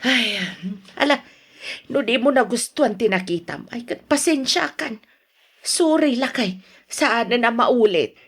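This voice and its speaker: A woman's voice: a little high, lively intonation, a little slow, husky, exaggerated voice. A woman playing an exasperated middle-aged wife in an Ilocano radio drama, speaking with an Ilocano accent in a nagging, exclamatory manner. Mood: placating. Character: exasperated middle-aged wife scolding, then placating her husband.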